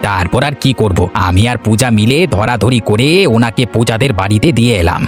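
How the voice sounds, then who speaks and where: Man voicing a fast-talking henpecked village husband. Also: on the low side, faint music behind, close to an everyday voice. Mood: eager. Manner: protesting, plain-spoken. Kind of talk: Bengali cartoon voice acting.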